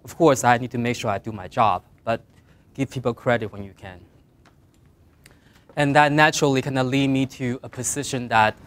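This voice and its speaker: Soft-spoken man with a Mandarin accent. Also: mid-range voice, fairly even intonation, normal speed, long pauses. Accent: Mandarin Chinese. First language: Mandarin Chinese. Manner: earnest, plain advice with emphatic rises and trailing quiet endings. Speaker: guest speaker. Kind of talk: career talk to design students.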